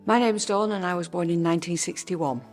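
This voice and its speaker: A woman in her sixties recalling childhood memories of a museum in a thoughtful, unhurried way. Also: lowish voice, steady tone, darkish tone, soft background music. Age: 60s. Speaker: interviewee. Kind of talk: reminiscing about childhood.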